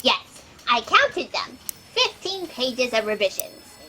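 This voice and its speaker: An actress as an eager, chatty female fact checker in a sci-fi comedy. Her voice is very high and swings around brightly, perky and wordy. There is faint noise in the recording, like a home call. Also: big pitch swings, slow, full character voice. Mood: eager, flustered. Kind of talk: comic sci-fi audio drama acting. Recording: noisy home video-call audio.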